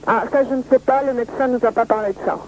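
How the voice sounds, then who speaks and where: A woman's voice: a little high, steady tone, quick pace, darkish tone. Insistent woman on a noisy phone line. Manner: earnest, insistent, repeats herself. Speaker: magazine journalist. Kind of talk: phone conversation.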